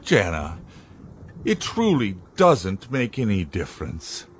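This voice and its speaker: A man's voice: fairly low pitch, wildly swooping pitch, slow pace, a little hiss or hum, full character voice. A man playing a pleading inventor father. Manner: earnest, pleading. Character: middle-aged male inventor father, pleading. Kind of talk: radio drama acting. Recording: with music underneath.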